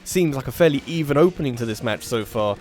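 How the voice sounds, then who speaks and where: A man's voice: mid-range voice, lively intonation, fast, a little mellow, a little hiss or hum. A man casually commentating an online match he organised, slightly nasal, rambling and correcting himself as he calls the play. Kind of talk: casual live game commentary.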